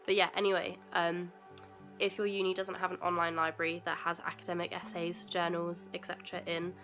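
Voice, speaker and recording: A woman's voice: lowish voice, little pitch movement, a little fast, a bit bright, faint music behind. A young woman from England, a university student, chatting casually in a rambling way with a stuffy nose from a cold, at home. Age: young adult. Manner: chatty and rambling, many fillers and asides. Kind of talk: casual vlog chat. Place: at home indoors.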